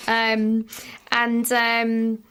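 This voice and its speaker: A woman vocal coach explaining singing in a relaxed podcast conversation, patient and full of examples. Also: fairly high pitch, drawn-out words, clean tone.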